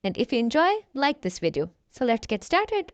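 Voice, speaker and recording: A woman reading a children's storybook aloud, addressing young listeners in an expressive storytelling manner. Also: a little high, normal speed, no echo, dull audio. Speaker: children's storybook reader, channel host.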